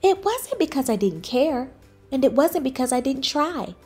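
A woman voicing an earnest, anxious cookie schoolchild in a children's storybook, with expressive, dramatic emphasis. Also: sits high, normal speed, soft background music, pitched above the performer's own voice. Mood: frustrated, downcast. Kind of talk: children's storybook read-aloud.

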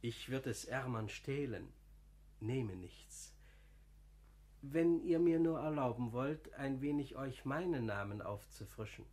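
A good-natured older lay brother in a classical radio play. He speaks at a medium male pitch in a homely, confiding way. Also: expressive, takes it slowly, a little mellow. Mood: humble, friendly. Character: humble, good-natured older friar, plain-spoken and confiding. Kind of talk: classical German radio drama acting.

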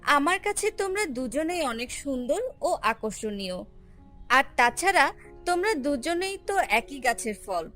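A woman's voice: high voice, measured pace, voice pushed up, full character voice. A woman voicing a fair-minded, friendly bird in a children's cartoon, speaking earnestly. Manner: earnest, even-handed. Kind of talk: children's cartoon storybook acting. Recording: music under the voice.

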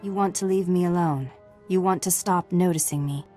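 A woman plays a serene, literal-minded mystic knight in a video game. Her voice is mellow and a little low, and her speech is calm, earnest and formal. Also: moderate pace, soft background music, natural voice. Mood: calm, placating. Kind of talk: video game dialogue acting.

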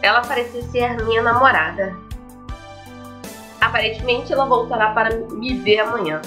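A woman's voice: a little high, normal speed, pushed and bright, faint music behind. A woman reading the inner monologue of a blunt, curious, amnesiac young man in a visual novel. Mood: puzzled, musing.

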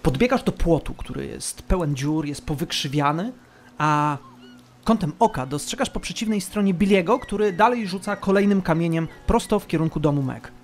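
High-pitched male game master over music. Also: lively intonation, moderate pace. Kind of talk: narrating a tabletop game, storytelling.

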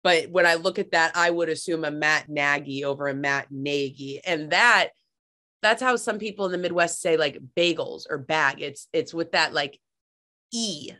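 A young woman on a video call from her living room, a podcast guest talking sports in a lively, playful, teasing way. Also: low voice, normal speed. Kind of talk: casual sports chat.

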